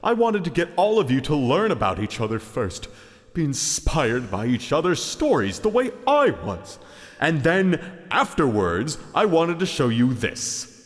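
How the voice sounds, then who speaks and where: A man voicing an earnest, hesitant, self-doubting giant hand who mentors heroes in an animated comedy. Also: fairly high pitch, unhurried. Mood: sheepish, earnest. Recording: long reverb, music behind.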